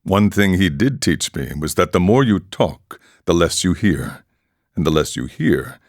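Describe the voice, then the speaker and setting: A man voicing a calm, ascetic warrior monk in a video game, speaking in a measured, solemn, philosophical way. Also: low voice, no echo, studio quality, full character voice. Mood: wry, measured. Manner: measured, solemn, aphoristic storytelling. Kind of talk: video game character dialogue.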